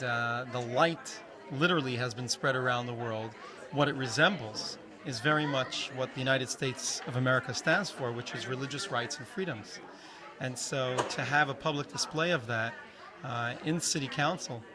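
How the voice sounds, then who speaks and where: A man, a rabbi, giving fluent, explanatory answers in an interview at a community event. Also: mid-range voice. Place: outdoor community holiday event. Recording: noisy crowd outdoors. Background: crowd chatter.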